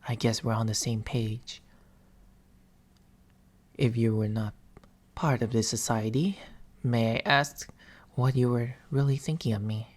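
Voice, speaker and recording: An eerie male sleep paralysis demon, acted by a man, speaks right at the ear in an ASMR roleplay. The delivery is slow and intimate, slipping between soft mid-pitched speech and whispers. The demon is patient and coaxing, with a theatrical storyteller's phrasing. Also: lightly acted. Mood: probing, soft. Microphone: close binaural microphone.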